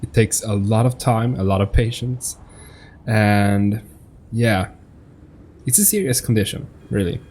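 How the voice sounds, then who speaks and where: Low-voiced man, hesitant gaming commentary. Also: a little slow, long pauses, a little mellow, breathy edge. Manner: hesitant, searching for words, repeats himself. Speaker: gaming host, let's-player. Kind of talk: casual game commentary.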